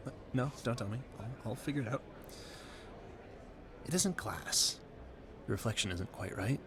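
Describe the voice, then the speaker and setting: A man playing a polite, flustered gentleman at a Gilded Age ball in an audio roleplay, effusive and rambling, stammering through formal talk. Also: medium pitch, expressive, normal speed, noisy background, natural voice. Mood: awestruck. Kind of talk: audio roleplay addressed to the listener.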